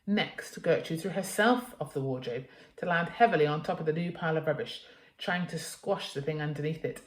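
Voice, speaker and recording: A woman's voice: low voice, brisk. Woman reading a story, echoey room. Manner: dramatic, emphatic reading for children. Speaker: teacher reading a children's story aloud. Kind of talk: expressive storybook narration.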